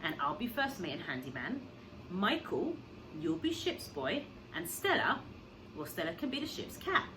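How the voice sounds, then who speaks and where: An excitable storybook dad played by a woman reading aloud, bursting with plans. The voice is breathless, persuasive and emphatic, leaping up and down in pitch. The recording has clear background noise. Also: normal speed, lightly acted. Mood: eager, excited. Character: excitable, persuasive father full of big plans.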